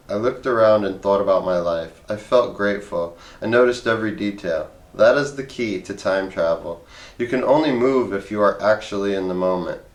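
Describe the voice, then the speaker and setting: A man reading a comic memoir aloud in a wry, conversational audiobook style. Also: lowish voice. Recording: roomy, echoey voice recording.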